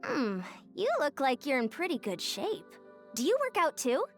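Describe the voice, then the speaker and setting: A woman's voice: high-pitched, very animated, moderate pace, soft background music. A woman voicing a cheerful, confident female fitness coach in a video game, upbeat and friendly. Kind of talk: scripted video game character dialogue.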